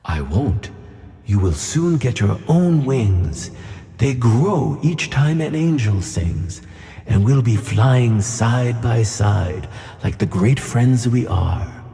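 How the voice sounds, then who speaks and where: A man playing a gentle, wise magical tuna fish who reassures his friend in a children's audio play. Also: medium pitch, expressive, takes it slowly, long reverb tail. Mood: reassuring.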